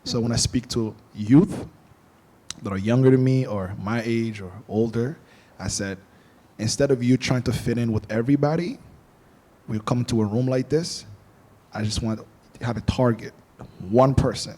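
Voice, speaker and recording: A man's voice: medium pitch, normal speed. A young man from Angola whose first language is Portuguese, telling stories casually on a panel podcast and acting out what others said. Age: young adult. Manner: storytelling, animated quoting of others. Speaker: podcast guest.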